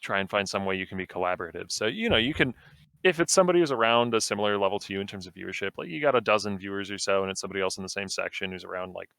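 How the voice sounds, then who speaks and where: A young man talking casually as a podcast guest, relaxed and rambling with lots of fillers. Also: fairly low pitch, very fast, vocal fry, no room sound. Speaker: guest streamer.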